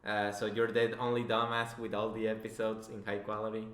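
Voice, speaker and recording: Male interviewer, bright tone, teasing casual chat, echoey room sound. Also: lowish voice, completely flat delivery, brisk. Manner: teasing, sarcastic. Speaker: podcast interviewer. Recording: roomy, echoey voice recording.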